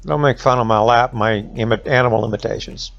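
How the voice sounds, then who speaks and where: A man's voice: mid-range voice, fairly even intonation, fairly quick, creaky, lo-fi sound, faint music behind. A man telling a folksy tale to children in colloquial dialect. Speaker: storyteller. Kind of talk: folksy oral storytelling for children.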